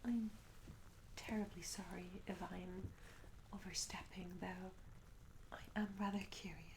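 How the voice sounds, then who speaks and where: A woman playing a curious, polite medieval tavern maid in an ASMR roleplay, speaking in a soft, breathy whisper with gentle, hesitant, old-fashioned courtesy. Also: fairly low pitch, steady tone, measured pace, poor audio. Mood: gentle, apologetic. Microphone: close ASMR microphone. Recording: close whisper over audible ambience noise. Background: candlelit tavern ambience.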